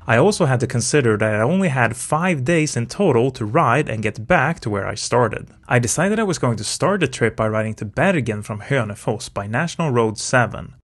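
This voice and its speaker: A middle-aged man from Sweden narrating his motorcycle travel vlog in a calm, measured voice-over. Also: medium pitch, husky. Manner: calm, informative, measured delivery. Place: voice-over, not recorded on the road. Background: faint music.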